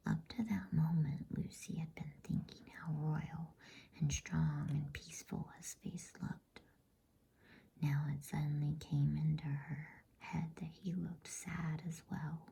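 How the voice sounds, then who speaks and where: A woman reading a bedtime story in a soothing, breathy ASMR whisper, hushed and unhurried. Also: low-pitched, flat delivery.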